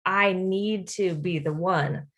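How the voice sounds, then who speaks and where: A woman's voice: lowish voice, slow. A woman podcast host chatting casually about faith and self-help, acting out imagined quotes as she talks. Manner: animated, acts out imagined quotes.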